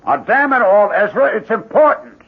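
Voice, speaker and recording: An actor playing a hot-headed, embittered husband in a radio drama, with an emphatic delivery. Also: male voice, high voice, expressive, moderate pace. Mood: pleading, angry. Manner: vehement, emphatic. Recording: dull 1970s radio recording.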